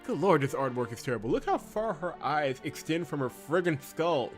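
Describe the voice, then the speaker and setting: A man's voice: on the high side, animated, moderate pace, soft background music. A man doing sarcastic, exasperated commentary while reading a visual novel aloud. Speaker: let's play host.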